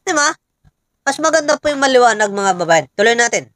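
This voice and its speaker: A Filipino woman giving informal political commentary, pausing often and emphatically putting rhetorical questions to listeners. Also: fairly high pitch, lively intonation, fairly bright tone, no room sound.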